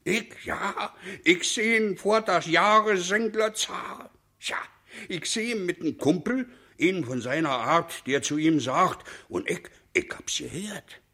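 A man's voice: high-pitched, slow pace, bright, forceful tone, exaggerated voice. An actor playing a cocky, coarse, slangy street fellow in a comic radio drama, brash and colloquial, stumbling as he starts sentences.

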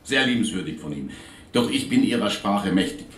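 A man's voice: on the low side, animated, slight background noise, lightly acted. Courteous, talkative police inspector from Vienna played by a man, off-mic in echo, radio crime drama. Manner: polite, formal, measured. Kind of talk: German radio play acting. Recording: roomy, distant video link sound, music.

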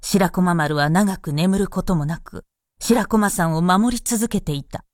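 A woman's voice: on the low side, a little slow, dry recording, studio quality. A woman narrating a radio drama as a scripted storyteller. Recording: loud music behind narration.

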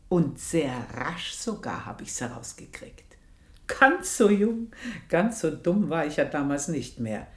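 A sly, bitter old maidservant. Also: female voice, deep voice. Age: older. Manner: confiding, hushed then emphatic, dwells on phrases. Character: aging woman servant, sly, bitter, gossiping. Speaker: narrating character. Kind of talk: radio drama monologue. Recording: roomy radio studio sound.

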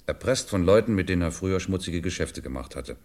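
An actor playing a shrewd, understanding French police commissioner in a 1950s radio drama, explaining with deliberate, theatrical emphasis. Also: male voice, deep voice, expressive, a little mellow. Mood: explaining, assured.

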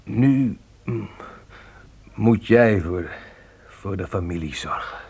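Sick father, radio play. Deep, extremely slow, feeble and halting. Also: lively intonation, a little mellow, breathy edge, full character voice. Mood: weak, struggling. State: weak, halting. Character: ailing, weakened pioneer father. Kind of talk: radio drama acting. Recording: faintly noisy old radio play.

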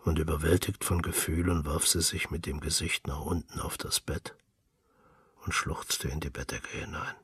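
A man narrating a literary story for radio in a measured, solemn manner. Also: very deep voice, fairly even intonation, fairly bright tone, husky, no echo.

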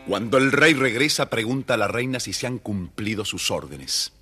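A man's voice: fairly low pitch, animated, normal speed, pushed and bright, faint music behind. A man narrating a scripted children's story expressively.

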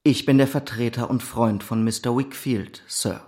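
An actor playing a polite but firm gentleman lawyer in a radio play, formal and measured. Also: mid-range voice, steady tone, natural voice.

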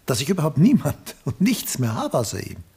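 A male actor in a 1960s German radio play, playing a lonely, needy, melancholy aging artist with a rough, husky voice. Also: fairly high pitch, huge pitch swings, brisk, darkish tone, exaggerated voice. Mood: pained, pleading.

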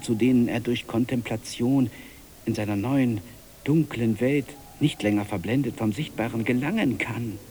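A man's voice: medium pitch, a little mellow. An actor playing a cockroach father in a radio drama, a lofty, preachy and blindly optimistic family man. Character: pompous philosophizing cockroach father, devoted husband, blindly optimistic.

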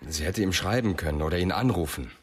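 An actor playing a serious, probing police inspector in a radio crime drama, speaking in terse questions and remarks. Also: male voice, low-pitched, fast, breathy edge.

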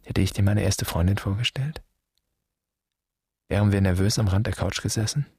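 An actor playing a brooding, wounded grown son in a poetic radio play, speaking in a measured, solemn, introspective way. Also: male voice, lowish voice, darkish tone, breathy edge, no echo, clean studio sound. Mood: wistful. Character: brooding, wounded grown son addressing his father's generation.

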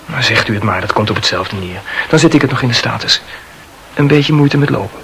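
Eager, creaky-voiced young medical student. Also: male voice, mid-range voice, expressive, fairly quick, pushed and bright, slight background noise. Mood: unsure, muttering. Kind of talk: radio drama acting.

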